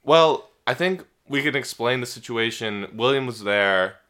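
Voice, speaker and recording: A man co-hosting a comedic health podcast, stuffy from a cold, making enthusiastic deadpan claims. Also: mid-range voice, a little slow. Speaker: podcast co-host.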